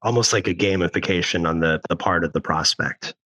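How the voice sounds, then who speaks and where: Deep-voiced analytical man. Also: sharp tone, rough recording. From Thailand. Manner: measured, analytical, businesslike. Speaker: guest. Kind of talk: podcast interview.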